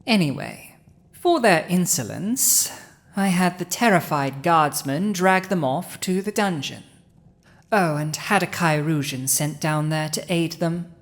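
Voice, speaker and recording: A woman plays a cunning dragon who rules in human form, in an intimate fantasy audio drama. The voice sits somewhat low and moves very slowly, with deliberate pauses. The delivery is haughty, smug and commanding, addressed straight to the listener. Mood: smug, matter-of-fact.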